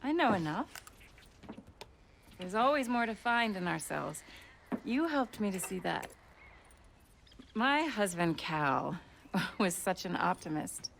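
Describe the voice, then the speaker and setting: An actress playing an educated, earnest, self-deprecating genteel young widow in a video game, speaking in a refined, articulate way. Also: female voice, medium pitch, expressive, takes it slowly, creaky, long pauses, a bit bright, a little hiss or hum, full character voice. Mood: grateful, sincere. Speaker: voice actor. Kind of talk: cinematic video game acting.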